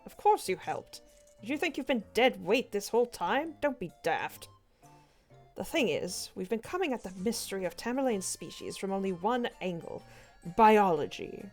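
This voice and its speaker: A woman's voice: medium pitch, sing-song, moderate pace. Casting: impatient scholarly old uncle, played by a woman, brusque and scolding, for a comic dub, light music underneath. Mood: brusque, thoughtful. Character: gruff, impatient older uncle, scholarly and exasperated.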